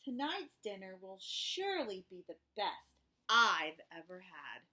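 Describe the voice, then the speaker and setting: A woman's voice: mid-range voice, sing-song, a little slow, sharp tone, heavily acted. A woman voicing a kindly, hearty female cook in a storybook read-aloud. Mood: hearty, welcoming.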